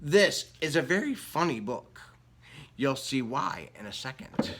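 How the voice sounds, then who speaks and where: A man's voice: medium pitch, very animated, a little slow, fairly bright tone, slight background noise. A man reading a picture book aloud to children in a playful way, asking them questions along the way. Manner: playful, addresses young listeners with questions. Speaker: children's story reader. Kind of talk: animated picture book read-aloud with asides to listeners.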